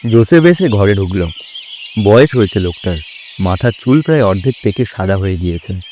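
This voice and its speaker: Low-voiced male story narrator. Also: lively intonation, a little fast, a little mellow, a little hiss or hum.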